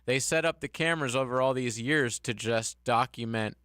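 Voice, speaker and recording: Smooth bright radio co-host man. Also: mid-range voice, normal speed, dry recording, clean studio sound. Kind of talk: casual sports radio chat. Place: radio studio. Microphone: studio microphone.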